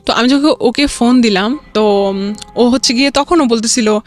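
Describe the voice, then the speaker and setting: A young adult woman with a Bangladeshi accent tells a personal story as an interview guest, rambling and halting, often repeating filler phrases. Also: on the high side. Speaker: guest telling own life story.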